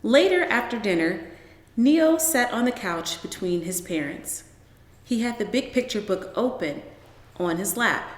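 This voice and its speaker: A woman narrates a children's story expressively. Her intonation is very lively and her pace a little slow, with long reverb in the room. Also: a little high. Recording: roomy echo with long reverb.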